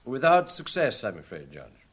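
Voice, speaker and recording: An actor playing a shrewd, unflappable police inspector in a radio drama, measured, matter-of-fact and assured. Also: male voice, mid-range voice, huge pitch swings, slightly rough. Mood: dry, assured.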